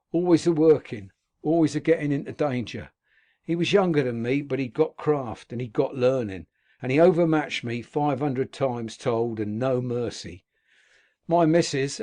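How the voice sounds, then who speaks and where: Male reader as a rough old convict, gruff, earthy dialect, medium pitch. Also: warm tone, lightly acted. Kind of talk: audiobook character reading.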